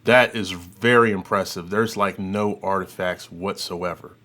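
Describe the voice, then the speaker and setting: A man hosting an audio software tutorial, talking informally and thinking aloud as he works through a demo. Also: sits low, steady tone, a little slow, over loud music. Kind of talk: casual demo commentary.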